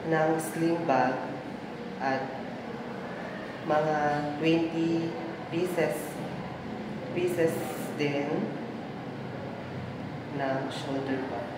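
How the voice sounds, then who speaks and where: A young woman playing an eager, trusting online buyer in an amateur student film, speaking haltingly. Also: deep voice. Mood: trusting, hesitant. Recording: noisy, echoey, distant mic with hum.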